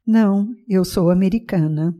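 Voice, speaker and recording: A woman voicing an American traveller from New York in a scripted language-lesson dialogue. She gives short, clear, polite answers. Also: medium pitch, normal speed, dull audio, close to an everyday voice.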